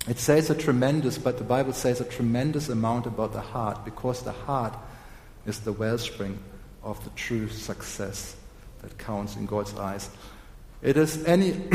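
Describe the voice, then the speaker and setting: A hoarse man preaching a sermon, slow and deliberate, clearing his throat often. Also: medium pitch, darkish tone, a little hiss or hum. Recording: echoey hall with long reverb.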